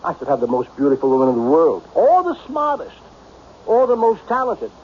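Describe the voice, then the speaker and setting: Old radio drama: self-made tycoon, brooding, blunt, self-pitying, very animated pitch, dull broadcast sound. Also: male voice, fairly quick, a little hiss or hum. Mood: bitter, dejected. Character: lonely self-made millionaire businessman, melancholy, brusque, self-pitying.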